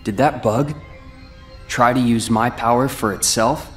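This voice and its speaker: A man acting a brooding, loyal teenage boy warrior in a video game cutscene, speaking in a measured, earnest way. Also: mid-range voice, a bit bright, close to an everyday voice. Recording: game music underneath.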